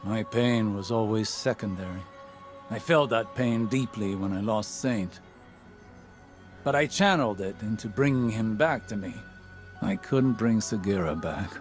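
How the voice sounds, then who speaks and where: An actor playing a grieving but quietly hopeful mentor in a sci-fi game monologue, measured and reflective. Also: male voice, fairly low pitch, faint music behind, lightly acted. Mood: mournful, steadfast.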